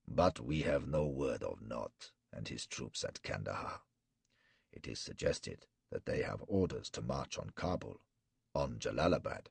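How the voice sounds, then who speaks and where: A man doing a cold, cruel warlord in a storybook reading. The voice is very deep and gravelly, husky at the edges, and it unspools its threats slowly and gloatingly. The sound is dry and close. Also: dry recording, heavily acted. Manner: slow, gloating, measured threats. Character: menacing cruel male warlord, cold and mocking. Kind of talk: audiobook character reading.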